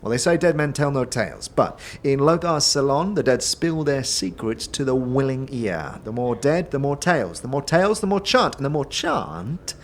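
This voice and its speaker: An actor playing a chatty, secretive innkeeper in a fantasy audio drama, florid and dramatic, with hushed confiding asides. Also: male voice, medium pitch, big pitch swings, normal speed, few pauses, a little hiss or hum, lightly acted. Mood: theatrical. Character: theatrical, secretive innkeeper, chatty and florid, spinning ominous tales.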